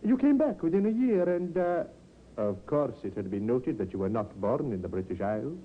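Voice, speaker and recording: Man acting measured police inspector, muffled old radio. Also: medium pitch, very animated, natural voice. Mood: politely probing. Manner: formal, measured, politely insinuating. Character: calm, methodical, slyly pointed male police inspector. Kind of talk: vintage radio drama acting. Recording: muffled, hissy 1950s radio recording.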